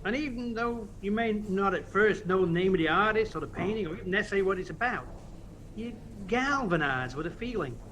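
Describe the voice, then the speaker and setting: A man's voice: high voice, animated, a little fast, a bit bright, poor audio. A man acting in an audio play as an earnest, reflective embassy official with a conversational manner.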